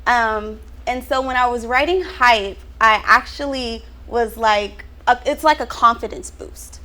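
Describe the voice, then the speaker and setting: Young woman from houston talking it out, upbeat, bright voice, kinda high, lots of ums. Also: a little slow. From Houston, Texas. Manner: upbeat, thinks aloud with many ums. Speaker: rapper and songwriter guest. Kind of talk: casual interview chat.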